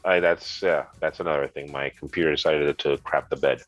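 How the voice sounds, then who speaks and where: A congested man co-hosting a gaming news chat, making dry, deadpan jokes as he explains the news. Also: rumbling low, a little fast, no echo, muffled recording, soft background music. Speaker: co-host, retro gaming specialist.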